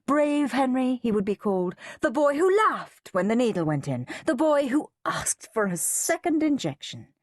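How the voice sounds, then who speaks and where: A woman's voice: on the high side, very animated, moderate pace, hardly any pauses, no room sound, studio quality, voice pushed up. A bratty young storybook boy, acted out by a woman. He is scornful, boastful and cowardly, with a raised voice that swoops melodramatically.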